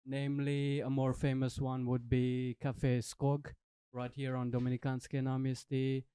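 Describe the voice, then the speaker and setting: Young man, host, monotone and mellow, very clean, dry sound. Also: mid-range voice, unhurried, smooth. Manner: rambling, upbeat. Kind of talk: casual interview hosting. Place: bakery.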